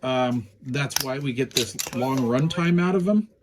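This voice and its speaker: A middle-aged man reviewing a small two-way radio in a relaxed, rambling way, with lots of fillers. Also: mid-range voice, a little slow, noisy background. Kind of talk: casual product review.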